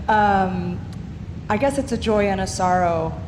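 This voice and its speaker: A woman in a church congregation sharing a joy and a sorrow, speaking hesitantly and from the heart with many pauses. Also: medium pitch. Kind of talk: unscripted spoken reflection. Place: large church sanctuary. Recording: long hall reverb, loud background noise.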